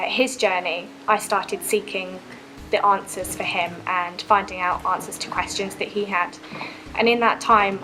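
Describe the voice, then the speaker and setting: A young woman giving a personal baptism testimony, earnest and reflective, with frequent pauses and ums. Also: medium pitch, background noise.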